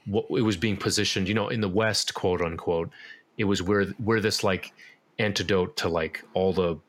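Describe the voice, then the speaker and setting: Low-voiced man, rambling and relaxed. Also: moderate pace. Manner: relaxed, rambling, many fillers and restarts. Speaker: podcast guest, musician. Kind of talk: casual interview talk.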